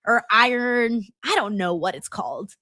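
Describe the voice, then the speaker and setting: Bright high-voiced chatty woman streamer. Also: moderate pace, dry recording, clean studio sound. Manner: laughs often, loose and chatty with many fillers; talks straight to her viewers. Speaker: live-stream host reading chat. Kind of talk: casual watchalong chat.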